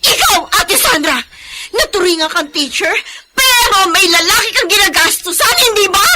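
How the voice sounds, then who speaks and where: A woman's voice: extremely high pitch, animated, moderate pace, very noisy. A woman with a Filipino accent playing a long-suffering young single mother, earnest and emotional, in a radio drama. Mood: anguished, tearful.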